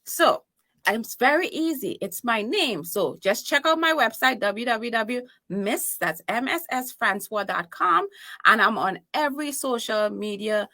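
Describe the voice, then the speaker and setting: A woman from Trinidad and Tobago, an author guesting on a podcast, talking in a lively, laughing, emphatic way. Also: fairly high pitch, measured pace. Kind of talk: casual interview chat.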